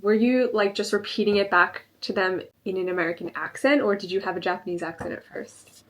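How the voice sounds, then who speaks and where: A woman co-hosting a podcast in casual chat, asking questions and reacting with quick laughs. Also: mid-range voice, fairly bright tone. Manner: responsive listener, frequent laughs and short backchannels. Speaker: podcast co-host.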